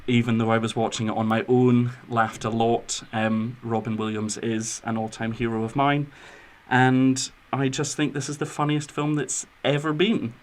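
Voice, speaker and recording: Man, podcast host, mid-pitched voice, chatty and wry, semi-scripted film talk. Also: flat delivery, moderate pace, hardly any pauses.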